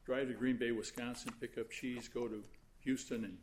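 A soft-spoken man arguing an appeal before judges in a large courtroom, halting and full of fillers as he speaks into a fixed recording mic. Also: mid-range voice, little pitch movement, slight background noise. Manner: halting, with many fillers and restarts. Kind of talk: appellate oral argument.

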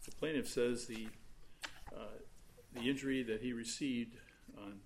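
A soft-spoken man arguing an appeal before judges in a large courtroom, halting and full of fillers as he speaks into a fixed recording mic. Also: medium pitch, fairly even intonation, creaky, a little hiss or hum. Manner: halting, with many fillers and restarts. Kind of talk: appellate oral argument.

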